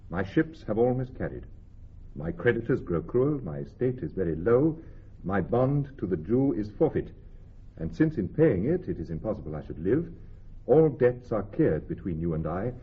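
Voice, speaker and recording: An ardent, loyal young gentleman delivers formal verse. His fairly low voice swings widely in pitch, on a dull old radio recording with music behind. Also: husky, heavily acted. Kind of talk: classical Shakespearean radio drama.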